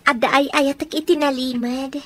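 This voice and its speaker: An actress plays a gentle young woman of Ilocano radio drama, recorded dry. Her high voice has an Ilocano accent and a respectful, deferential manner. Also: fairly even intonation, normal speed, no room sound, close to an everyday voice. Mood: earnest, respectful.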